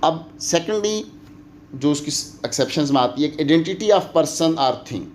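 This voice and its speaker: A man's voice: on the high side, expressive, measured pace, darkish tone. Pakistani man lecturing, with background noise. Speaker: law lecturer. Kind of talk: explanatory lecture, switching between Urdu and English.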